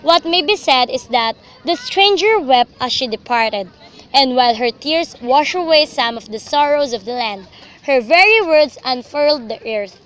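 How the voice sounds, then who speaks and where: A woman's voice: high voice, animated, moderate pace, a bit bright. A young woman reading scripted, dramatic story narration for a student radio drama. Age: young adult. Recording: faintly noisy amateur recording.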